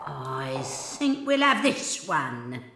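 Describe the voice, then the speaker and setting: A woman's voice: rumbling low, sing-song, extremely slow, noisy background, full character voice. A woman playing a put-upon older maidservant in a children's audio drama, complaining and muttering to herself. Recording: roomy cellar-like echo.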